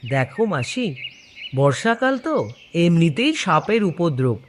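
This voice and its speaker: A woman's voice: fairly low pitch, sing-song, measured pace, slight background noise. Woman acting a lilting, cautioning villager. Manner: advising, cautioning. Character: cautioning younger villager advising an auntie.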